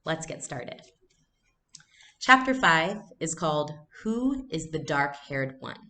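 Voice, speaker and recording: A woman teacher narrating a novel aloud and pausing to explain it to learners. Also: low-pitched, big pitch swings, sharp tone.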